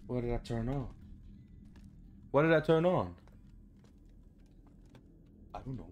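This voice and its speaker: A man's voice: medium pitch, lively intonation, slow pace, darkish tone, highs cut off, slight background noise. A young man giving casual, sweary game commentary, talking to himself as he reacts. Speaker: gaming YouTuber. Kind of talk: casual horror game let's play commentary. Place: home room, indoors.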